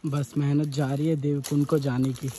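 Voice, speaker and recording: A man's voice: a little high, little pitch movement, normal speed, mellow, a little hiss or hum. A young man vlogging to his phone camera outdoors on a forest trek, chatty and enthusiastic as he walks. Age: young adult. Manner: chatty, enthusiastic, talks to camera while walking. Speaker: travel vlogger. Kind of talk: casual trekking vlog. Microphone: phone at arm's length.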